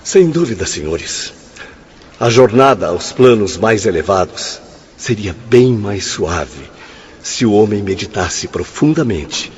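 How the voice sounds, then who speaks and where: A man's voice: fairly low pitch, expressive, slow, highs cut off, slight background noise. Swooping, declamatory male spirit instructor. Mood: earnest, grave. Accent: Brazilian. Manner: emphatic, declamatory. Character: earnest male spirit instructor lecturing his friends gravely. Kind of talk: radio drama acting.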